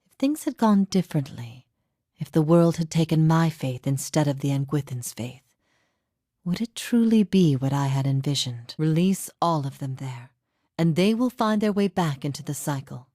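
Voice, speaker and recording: A woman playing a grave, weary yet steadfast imprisoned heretic prophet in a fantasy game, speaking formally and with measured solemnity. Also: deep voice, expressive, dry recording, clean studio sound. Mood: solemn, resolute. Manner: solemn, measured, formal, often dropping to breathy softness. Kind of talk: dramatic fantasy game dialogue.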